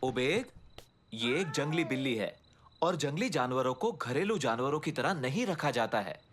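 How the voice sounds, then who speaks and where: A mid-pitched adult voice of a stern, sensible cartoon father, played by a man. He explains firmly, with faint noise behind him. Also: lively intonation, normal speed, fairly bright tone, lightly acted. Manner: firm, explanatory. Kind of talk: animated children's comedy dub.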